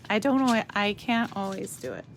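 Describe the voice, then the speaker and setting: A woman with a slightly raspy voice giving a chatty live craft demonstration, thinking aloud as she stamps and colors. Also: medium pitch, sing-song, a little hiss or hum. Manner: slow, chatty, thinks aloud while working.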